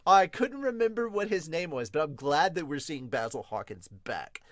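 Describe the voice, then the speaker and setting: A man's voice: a little high, animated, moderate pace, a bit bright, no echo, studio quality. A man hosting a manga review podcast, talking casually with gushing fan enthusiasm and some mild swearing.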